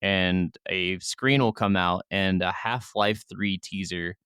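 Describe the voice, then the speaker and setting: Smooth, low-voiced man chatting on a podcast. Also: fairly even intonation, slow, a bit bright, dry recording, clean studio sound. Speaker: podcast co-host. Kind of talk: casual gaming podcast chat.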